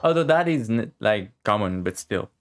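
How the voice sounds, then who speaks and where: A man's voice: fairly low pitch, lively intonation, moderate pace, a little hiss or hum. A man giving casual live game commentary, reacting in an informal, slangy, sweary way. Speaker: game streamer. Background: game music.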